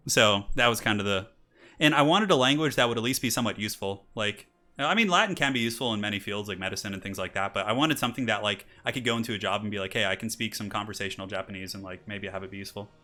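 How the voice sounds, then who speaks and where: Bright-voiced chatty male streamer. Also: fairly low pitch, fast. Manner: chatty, reads donations aloud, laughs often; rises to hyped casting for match intros. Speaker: streamer and tournament caster. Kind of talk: casual live-stream chat and game commentary.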